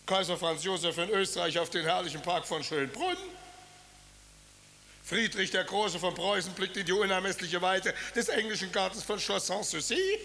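A man's voice: sits high, animated, normal speed, pushed and bright, full character voice. An actor in his fifties playing a vain, self-pitying tsar and father in a radio play, petulant and pompous. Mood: grumbling. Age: 50s. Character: vain whiny tsar father, middle-aged man. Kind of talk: radio drama acting.

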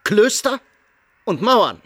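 A lustful, gloating nobleman villain with a fairly high voice. He speaks very slowly and deliberately, mocking and threatening, in classical radio drama style. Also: very animated, bright, forceful tone, no echo, full character voice. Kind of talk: German radio drama acting.

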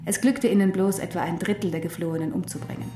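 An actress in a German radio drama playing a knowing teacher who explains history in a deliberate, emphatic way. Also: female voice, lowish voice, fairly even intonation, dark tone, echoey room, lightly acted. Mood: matter-of-fact, emphatic. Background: music.

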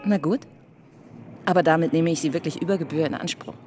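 A woman's voice: low-pitched, quick pace, darkish tone, soft background music, close to an everyday voice. A woman playing a poised, independent young stage actress in a radio drama, with a teasing manner. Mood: polite, charmed.

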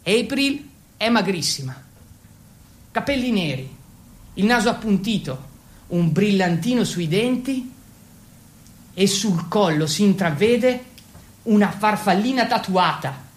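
A man's voice: high voice, animated. Guy acting as feverish italian teen boy telling his story, theatrical, awkward, kinda fumbling. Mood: fixated. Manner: halting and fumbling when speaking English. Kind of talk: theatrical first-person monologue.

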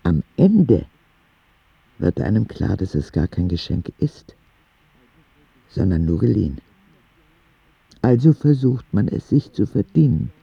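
A man's voice: fairly low pitch, gravelly, husky, no echo, muffled recording, exaggerated voice. A man playing a dying ten-year-old boy in a radio drama, reading candid, wondering letters to God in an intimate, reflective way. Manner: slow, reflective, with expressive swings.

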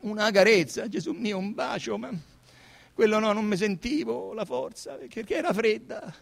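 Man playing an anxious, pleading young Roman suspect, high-pitched. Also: vocal fry, heavily acted. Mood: anguished. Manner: stammering, halting, imploring. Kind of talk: dramatised radio literary reading.

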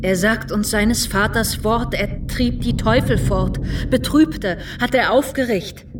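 A devout, grieving woman recites verse in a radio play. Her pleading voice is rather high and slow, slightly distant amid loud noise. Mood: earnest. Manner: pleading, deliberate. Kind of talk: dramatic recitation of devotional verse.

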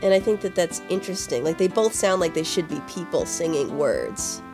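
A woman's voice: fairly low pitch, animated, normal speed. A woman co-hosting a podcast, chatting casually and informally about video game music. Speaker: podcast co-host.

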